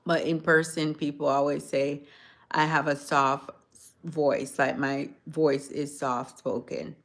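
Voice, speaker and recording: A woman from Jamaica chatting on a phone livestream, answering viewers' comments in a soft-spoken, sometimes hoarse voice. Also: sits low, little pitch movement, slow pace. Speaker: livestream host. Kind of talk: casual livestream chat with viewers.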